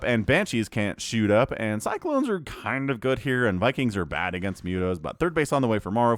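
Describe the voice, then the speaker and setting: A man casting a strategy video game match solo, excitable and jokey, asking himself questions and reacting as plays unfold. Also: mid-range voice. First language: English. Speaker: esports caster. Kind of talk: solo play-by-play commentary.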